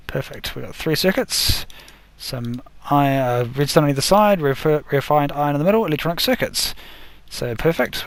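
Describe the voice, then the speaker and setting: A man's voice: mid-range voice, no room sound. A man chats his way through a gameplay session, narrating each crafting step as he goes. He trips over words and corrects himself along the way. His voice sounds a bit worn, as if he is losing it. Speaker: gaming video host. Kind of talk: casual gameplay commentary.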